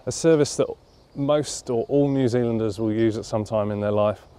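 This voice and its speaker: A man, speaking informally and thoughtfully, with halting phrases, in an interview. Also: mid-range voice, warm tone, clear, resonant tone. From London, England. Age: 30s. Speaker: interviewee, charity cyclist. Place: outdoors at a leafy campground.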